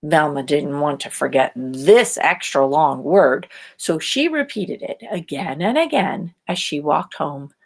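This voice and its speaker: Lady reading a kids picture book, really animated, takes her time, bit of creak in the voice. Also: low-pitched, a little slow, few pauses. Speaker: storybook reader. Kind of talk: expressive scripted children's story narration.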